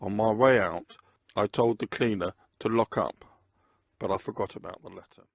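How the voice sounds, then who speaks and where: A man's voice: lowish voice, normal speed, vocal fry, no room sound, clean studio sound. A man reading scripted first-person narration of a crime story.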